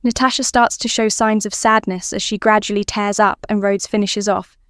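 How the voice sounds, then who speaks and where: A woman's voice: medium pitch, steady tone, normal speed, smooth, dry recording, studio quality. A woman narrating scripted fan fiction as a storyteller.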